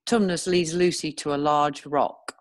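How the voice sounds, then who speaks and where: A woman narrating screenplay stage directions in a measured, descriptive reading style. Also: low-pitched, steady tone, no room sound.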